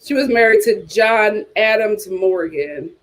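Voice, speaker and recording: A woman hosting a casual live chat show, reacting to comments in an animated, gossipy, blunt way and repeating phrases for emphasis. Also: mid-range voice, a little slow. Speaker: host of a reality TV chat show.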